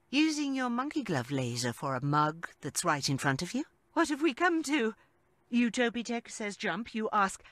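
Sardonic shopkeeper. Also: female voice, mid-range voice, a bit bright, dry recording. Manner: sarcastic, dry teasing. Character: sardonic woman shopkeeper, wry narrator. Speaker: comic shop clerk and narrator. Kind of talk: video game voice acting.

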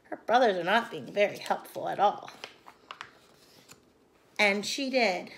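A woman in her thirties reading a picture book aloud to children like a teacher, in an expressive way. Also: mid-range voice, unhurried, creaky, slight background noise. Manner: expressive, addressing young children. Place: small room at home, indoors.

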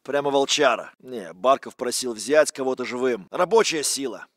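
An actor playing an aggressive Russian soldier in a military shooter game, barking urgent threats with a Russian accent. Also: male voice, mid-range voice, expressive, moderate pace, a bit bright, no room sound, natural voice. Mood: gruff talk. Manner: barked, urgent, menacing.

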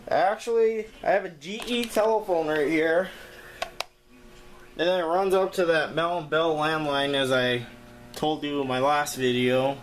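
A man giving an informal hands-on demonstration of a homemade gadget, speaking from behind a handheld camera in a small bedroom. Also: on the high side. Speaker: video creator showing a homemade gadget. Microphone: handheld camera, operator behind it. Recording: noisy handheld camera with background hum.

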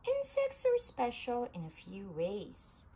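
Female read-aloud narrator for a kids' science book, very expressive pitch, unhurried pace, noisy home recording. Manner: animated storybook delivery with emphasis for young listeners. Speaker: reader of a children's book.

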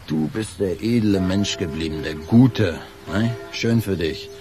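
A man's voice: low-pitched, a little slow, over music. An actor playing a brusque, defensive workman who dodges guilt, in a radio drama. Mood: defensive, irritated.

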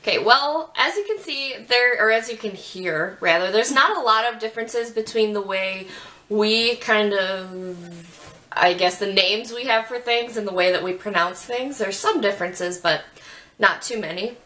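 A woman's voice: mid-range voice, bright, forceful tone, poor audio. A woman in her twenties from Ohio with a Midwestern accent, drawing out her vowels as she chats and reads questions to a friend on webcam in a small bedroom. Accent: Midwestern American. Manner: chatty, giggly, drawn-out vowels. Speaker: vlogger host. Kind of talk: casual chat, reading tag questions. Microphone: webcam at desk.

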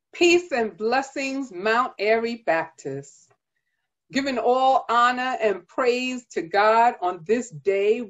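A middle-aged woman preaching a sermon in a deliberate, emphatic cadence of repeated phrases, recorded like a video call. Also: mid-range voice. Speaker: guest preacher and pastor. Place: indoors, virtual background. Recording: dull, band-limited video-call audio.

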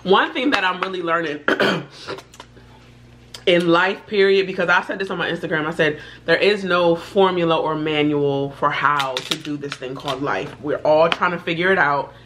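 Guest woman, 30s, lowish voice, reflective and playful, faint hiss behind. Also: moderate pace. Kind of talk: casual heartfelt chat. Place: indoor studio set at a table.

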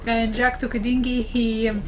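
A young woman, a sailing vlogger, narrating casually and softly from her boat into an action camera held at arm's length. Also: on the high side, level tone, moderate pace, a crowd in the background, poor audio. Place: sailboat at anchor, on deck and in the cabin. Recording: music playing under the voice.

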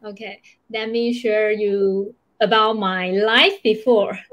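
A Taiwanese woman answers questions as a guest on an online chat. She speaks very slowly in a mid-pitched voice. The sound is that of a video call from a small room. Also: steady tone, a bit bright. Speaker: interview guest. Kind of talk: casual interview answers.

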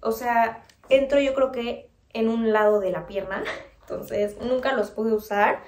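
A woman's voice: on the high side, steady tone, a little slow, darkish tone. A young woman in her twenties with a Mexican Spanish accent, chatting casually to her phone camera as she prices and shows clothes. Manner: chatty, pricing and showing clothes. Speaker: vlogger. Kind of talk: casual vlog talk to camera. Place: bedroom at home, then outdoor bazaar. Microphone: handheld phone camera.

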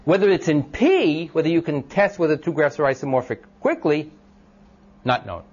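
A man with a loud voice giving an informal classroom lecture, thinking aloud with many ums and restarts and asking the class questions. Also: on the high side, animated, normal speed, breathy edge. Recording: dull lo-fi lecture recording.

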